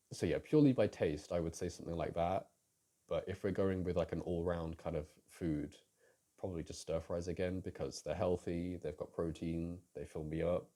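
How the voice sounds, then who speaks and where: A young man talks in a very low, deep voice with a dark, mellow tone. He rambles through listener questions, stopping often, restarting and filling gaps with ums. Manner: rambling, hesitant, many fillers and restarts. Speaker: audio roleplay creator answering questions. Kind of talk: casual Q&A chat.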